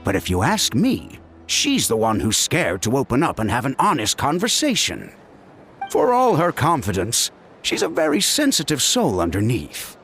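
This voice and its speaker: An actor playing a caring middle-aged uncle who gently scolds his niece in a video game. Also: medium pitch, normal speed. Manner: warm-hearted scolding, expressive. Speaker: game character. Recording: game audio with soft music.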